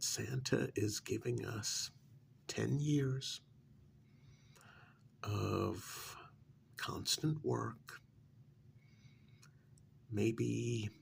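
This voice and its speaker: A man playing a weary, sheepish bearer of bad news in a soft, halting, near-whispered ASMR role-play. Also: fairly low pitch, gravelly, a little hiss or hum, exaggerated voice. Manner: hesitant, halting, rambling.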